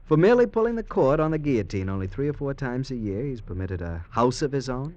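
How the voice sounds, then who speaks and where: An actor in an old American radio drama playing a cheerful, cocky young convict who dreams of freedom. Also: male voice, medium pitch, big pitch swings, quick pace, darkish tone, highs cut off, close to an everyday voice. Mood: breezy. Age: young adult.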